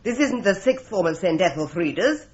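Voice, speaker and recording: An actress playing a brisk, no-nonsense office worker with a husky voice. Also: female voice, fairly low pitch, expressive, darkish tone. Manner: crisp, brisk. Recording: dull lo-fi audio.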